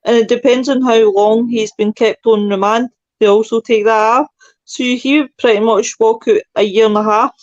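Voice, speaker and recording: A woman's voice: a little high, fairly even intonation, normal speed, no echo. A Scottish woman co-hosting a casual panel chat, emphatic, with apologetic asides. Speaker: panel co-host. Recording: dry, dull lo-fi sound.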